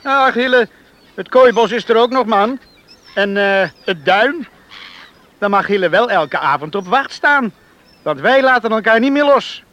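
An actor playing a hot-headed, indignant young drifter in love in a Dutch radio play. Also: male voice, high voice, expressive, a little slow, a bit bright, lo-fi sound. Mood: defiant, taunting. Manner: indignant, bantering, protesting.

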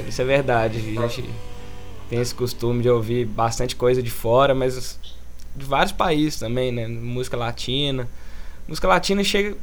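A man hosting a casual podcast, asking questions in a relaxed, friendly way with fillers. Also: medium pitch. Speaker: podcast host. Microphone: computer mic.

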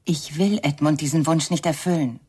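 A woman's voice: deep voice, fairly even intonation, normal speed, warm tone, slightly rough. A woman playing a reproachful, determined figure in a radio crime drama, insistent and accusing. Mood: bitter, insistent.

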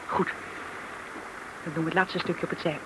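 An actor playing a matter-of-fact, obliging sailboat skipper who gives practical instructions in a radio play. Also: male voice, fairly high pitch, flat delivery, normal speed, natural voice. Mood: calm, practical. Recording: noisy old radio play recording.

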